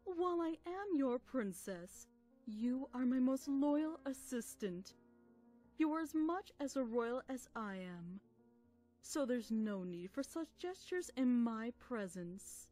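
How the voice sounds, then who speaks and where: A woman playing a motherly, gracious pony princess and mentor, speaking formally and gently in an acted fan audio drama. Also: sits high, lively intonation, soft background music, heavily acted. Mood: reassuring. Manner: formal, gentle, measured speech.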